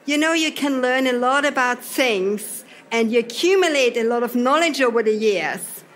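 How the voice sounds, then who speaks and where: A woman's voice: a little high, lively intonation, normal speed, rough recording. Austrian woman leading a workshop talk. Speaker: seminar trainer. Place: event hall.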